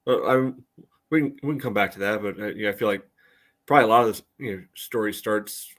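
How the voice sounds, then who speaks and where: A man's voice: on the low side, brisk, a bit bright. A man co-hosting a sports podcast, giving casual analysis in a hesitant, loosely phrased way full of "you know".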